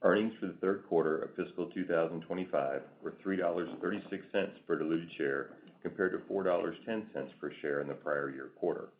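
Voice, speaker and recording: A man's voice: low-pitched, a little fast, hardly any pauses. A man reading a scripted financial report as a company executive on a conference call. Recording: tinny phone-like conference line.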